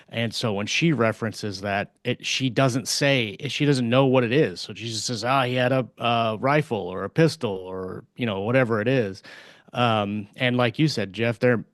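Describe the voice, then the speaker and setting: Low, dry-sounding man explaining haltingly. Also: no room sound. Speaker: podcast co-host, author. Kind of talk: casual podcast discussion.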